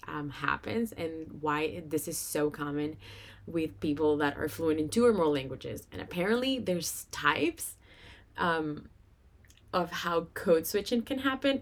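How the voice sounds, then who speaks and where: A young Venezuelan woman hosts a casual solo podcast in a slightly low voice. She has a Venezuelan Spanish accent from her first language. Her delivery is chatty and informal, with a giggly streak. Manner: chatty, informal, giggles often while talking. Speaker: podcast host.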